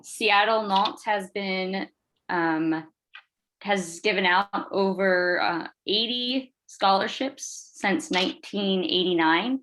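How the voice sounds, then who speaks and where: A woman presenting at an online event, introducing scholarship recipients in a measured way with some fillers, on a video call. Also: mid-range voice, a bit bright, rough recording.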